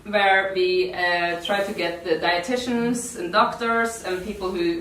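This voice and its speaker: A woman from Norway with a Norwegian accent gives an informal workshop talk in non-native English, halting and repeating words. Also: medium pitch, slight background noise. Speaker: activist workshop speaker. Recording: echoey room, distant mic.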